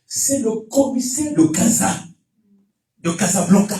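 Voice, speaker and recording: A man with a Congolese French accent preaching a fervent sermon, emphatic and repetitive, often shouting his exhortations. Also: extremely high pitch, expressive, a little slow. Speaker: pastor preaching. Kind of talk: fervent Pentecostal-style sermon with testimony and call-and-response. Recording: echoey church PA, distant, music behind.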